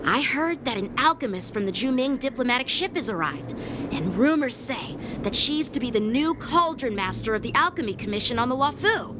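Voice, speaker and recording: A woman's voice: high-pitched, lively intonation, a little fast, noisy background. Earnest, polite young boy voiced by a woman. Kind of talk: English video game voice acting. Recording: loud background music under the dialogue. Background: game music.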